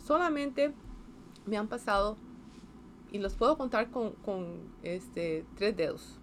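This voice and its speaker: A woman's voice: medium pitch, lively intonation, slow, slight background noise. Costa Rican woman, hesitant and chatty. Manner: hesitant, many fillers and restarts, tag questions. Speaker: engineer interviewee. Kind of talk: casual interview.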